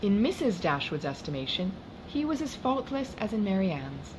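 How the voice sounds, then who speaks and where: A woman reading a classic novel aloud as an audiobook, with formal, expressive phrasing. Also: medium pitch, normal speed, background noise. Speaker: audiobook reader.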